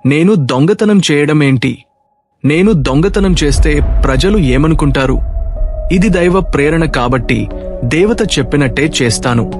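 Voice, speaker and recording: A male actor plays a dutiful, brave king in a folk-tale audio drama. His voice sits a little high for a man, over faint music. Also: animated, moderate pace. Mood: pondering.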